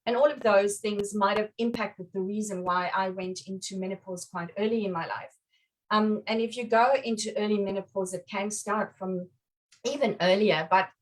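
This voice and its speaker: A middle-aged woman with a South African accent touched by Australian, giving an informal explanatory talk as a guest. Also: mid-range voice. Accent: South African with a slight Australian influence. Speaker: guest speaker. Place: online live video call. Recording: distant mic on online call.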